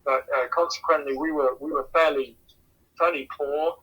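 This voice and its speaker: A man with an English accent, a missions pastor, answering interview questions in a measured way and often repeating words as he thinks. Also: on the high side, fairly even intonation, fairly bright tone, husky, low-quality recording. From England. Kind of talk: casual phone interview answers.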